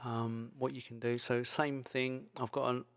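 A man presenting an informal software tutorial, speaking in a hesitant, loosely improvised way. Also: medium pitch, level tone, unhurried. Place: indoors, screen-recording voice-over. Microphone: microphone into audio software.

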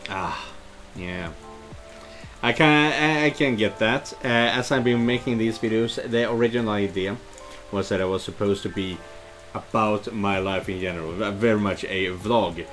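A middle-aged man giving casual playthrough commentary and reading dialogue, speaking in a hesitant, halting way. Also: on the low side, a bit bright. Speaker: gaming video creator. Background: game music and rain ambience.

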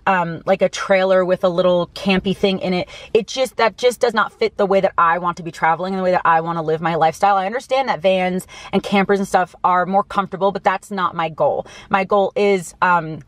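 A woman's voice: fairly low pitch, steady tone, a little fast, hardly any pauses, no room sound. An American woman in her early thirties talks casually and earnestly to camera as a vlogger, stressing her points, recorded inside a small parked car. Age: early 30s.

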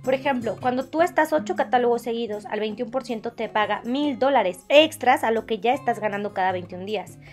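A woman's voice: a little high, brisk, few pauses, darkish tone, soft background music. A woman with a Mexican accent giving a casual explainer voice-over, enthusiastic and chatty, speaking straight to the listener. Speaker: network marketing recruiter and presenter. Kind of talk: casual explainer voice-over for drawn whiteboard video.

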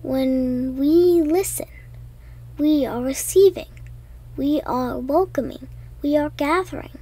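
High-voiced woman, slow hushed meditation guide. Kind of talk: scripted guided meditation for children.

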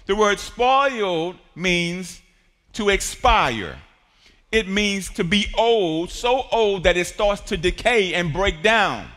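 High, bright-voiced man preaching in a hall. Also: expressive, slow pace. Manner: preaching with rising shouts and call-and-response. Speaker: pastor. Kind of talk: sermon. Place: large church auditorium stage. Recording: long hall reverb.

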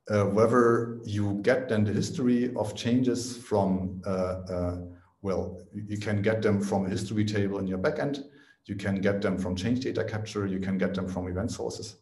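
A man's voice: on the low side, normal speed, darkish tone. A man in his forties speaking at a remote conference Q&A. He explains in a casual, technical way, often adds "right?", and restarts his sentences, talking into a headset at home. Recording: echoey room.

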